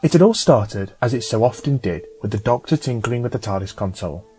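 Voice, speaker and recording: A man reading a scripted story as an audiobook narrator, in a measured, dramatic storytelling manner. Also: medium pitch, animated, mellow, soft background music.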